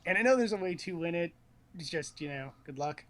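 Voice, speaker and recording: A man's voice: a little high, lively intonation, brisk, a bit bright. A man casually chatting as he watches a video game, teasing and joking in slangy talk. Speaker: commentator on a video game stream.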